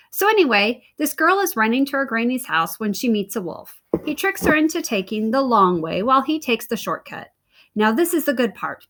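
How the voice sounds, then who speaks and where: A woman voicing Jack, a boastful, bossy boy narrator in a storybook, talking fast and pushing ahead. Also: fairly high pitch. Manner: pushy, excitable, talks fast.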